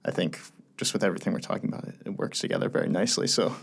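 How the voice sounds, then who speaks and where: A man's voice: deep voice, steady tone, very fast, creaky. A man co-hosting a radio interview, supportive and encouraging toward his guest. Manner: affirming, encouraging listener. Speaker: radio show co-host.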